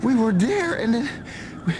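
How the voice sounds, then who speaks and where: An actress playing an earnest, inquisitive adventurer in a fantasy video game. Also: female voice, fairly high pitch, sing-song, normal speed, background noise. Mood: excited curiosity.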